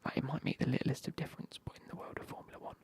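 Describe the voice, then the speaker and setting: A man's voice: very fast, a little mellow. A man, whispering as he banters with his live stream chat and swears now and then.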